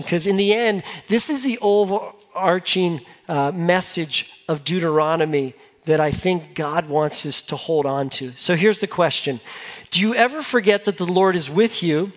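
A man preaching as a pastor in an informal, conversational style, repeating phrases for emphasis and putting questions to his listeners. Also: high-pitched. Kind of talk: conversational sermon. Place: large church hall with a congregation. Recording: long hall reverb.

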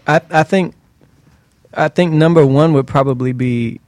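A man's voice: a little high, unhurried, darkish tone, dry recording. A man speaking as a guest singer in a conversational interview, giving advice emphatically with rhetorical questions and repeated phrases.

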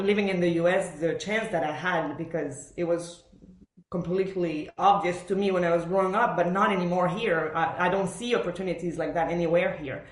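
A woman from Argentina and Montreal speaking as an interview guest, telling personal stories conversationally and acting out quoted remarks. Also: lowish voice. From born in Argentina, raised in Montreal, Canada. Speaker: podcast guest, professional orchestral bassoonist. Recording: echoey room sound.

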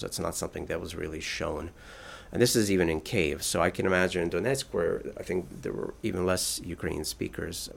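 Deep gravelly-voiced man answering questions. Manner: thoughtful, with frequent restarts and fillers. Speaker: translator. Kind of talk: interview answers.

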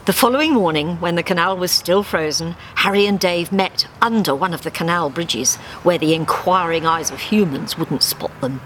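A woman's voice: fairly low pitch, moderate pace, hardly any pauses, fairly bright tone. A woman narrating a scripted story expressively, recorded live in a theatre. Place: small theatre stage before an audience. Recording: live theatre recording with faint background noise.

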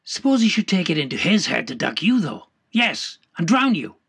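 A man voicing a sceptical, needling sailor in an audiobook, husky and gravelly, with blunt, probing speech. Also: a little high, very animated, moderate pace, fairly bright tone, pitched above the performer's own voice, exaggerated voice. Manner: blunt, probing, colloquial questions. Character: sceptical, needling male whaling mate quizzing his shipmate.

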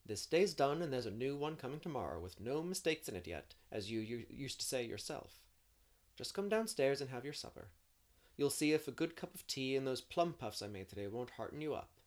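A narrator voicing a stern but kindly older woman who speaks briskly and with decision. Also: medium pitch, big pitch swings, darkish tone, natural voice.

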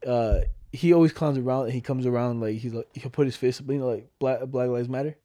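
A man's voice: medium pitch, steady tone, fairly quick, dark tone. A young man with a smoky voice, co-hosting a podcast in casual chat, rambling with lots of fillers and slang. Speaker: podcast co-host.

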